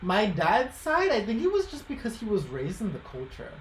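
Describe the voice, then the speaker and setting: A woman's voice: medium pitch, huge pitch swings, normal speed. A young woman from the United States chatting casually, explaining things in a reflective way. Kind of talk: casual chat. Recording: roomy echo, faint background noise.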